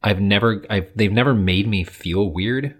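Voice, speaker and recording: A man's voice: lowish voice, moderate pace. A man co-hosting a podcast in casual chat, chiming in with wry, dry asides. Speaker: podcast co-host.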